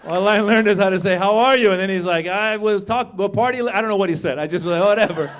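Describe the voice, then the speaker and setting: A middle-aged man doing a stand-up comedy set, chatting with the crowd, shouting his punchlines and jumping into put-on voices. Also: high-pitched, quick pace, a little hiss or hum. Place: comedy club stage before a live audience.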